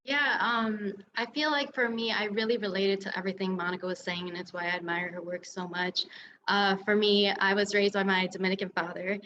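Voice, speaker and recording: A woman's voice: mid-range voice, little pitch movement, a little fast. A young American woman on an artists' panel, speaking earnestly about her background. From United States. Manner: earnest, laughs lightly between thoughts. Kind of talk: casual panel talk. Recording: dull lo-fi audio with faint noise.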